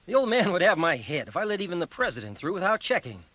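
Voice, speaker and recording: A dutiful young guard in an audio drama. He is courteous and apologetic, with a slightly high, bright voice and lively intonation. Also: quick pace, dry recording, heavily acted. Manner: polite, by-the-book.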